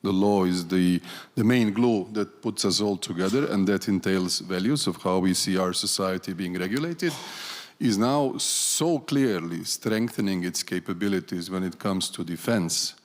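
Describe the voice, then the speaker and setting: Low-voiced man speaking in a reverberant hall. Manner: deliberate, with frequent restarts and fillers. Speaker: conference panelist. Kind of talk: panel discussion remarks. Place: large conference hall. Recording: long reverb of a large hall.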